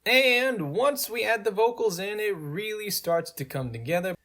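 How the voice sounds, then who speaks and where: A man's voice: sits high, lively intonation, normal speed, sharp tone. A man giving a casual, conversational tutorial voice-over as the host. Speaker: video tutorial host and game creator.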